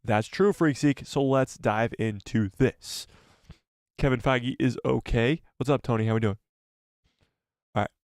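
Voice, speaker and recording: A man's voice: mid-range voice, unhurried, long pauses, dry recording. Chatty man with a cold, dry sound. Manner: excitable, chatty, greets and reads out chat. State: has a cold, losing his voice. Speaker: live stream host. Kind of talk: casual live stream chat.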